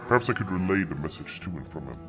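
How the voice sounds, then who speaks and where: A very low, stately male dragon, acted by a man, speaks with courtly formality and gruff, teasing loyalty. It is fantasy audio drama, with prominent music underneath the voice. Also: animated, racing. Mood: measured, courteous.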